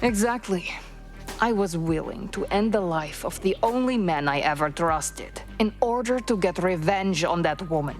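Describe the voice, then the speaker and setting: Actress as menacing female faction leader for a game cutscene; low register, cold deliberate command, light music underneath. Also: lively intonation, close to an everyday voice. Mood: vengeful. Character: vengeful, menacing woman leading a criminal organization. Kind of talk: scripted video game cutscene acting.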